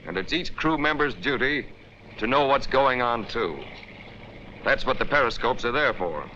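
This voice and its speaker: A man with an American accent delivers scripted narration for a 1940s military training film. His voice is very bright and forceful, with a medium pitch. He instructs emphatically in clipped directives, heard through a dull, band-limited old film soundtrack. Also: expressive, moderate pace, a little hiss or hum.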